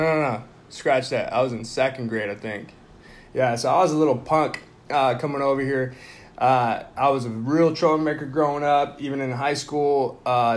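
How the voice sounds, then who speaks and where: A man in his thirties from Mexico, co-hosting a podcast in a loud, jokey, casual chat and laughing often. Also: on the high side. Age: 30s. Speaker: podcast co-host.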